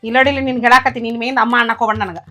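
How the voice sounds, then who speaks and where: A woman playing a high-voiced, scolding North Karnataka mother-in-law. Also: fairly even intonation, faint music behind. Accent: North Karnataka Kannada. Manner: scolding, sharp, and quick to blame. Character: jealous possessive mother-in-law, quick-tempered, later remorseful. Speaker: actor. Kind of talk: village family drama acting.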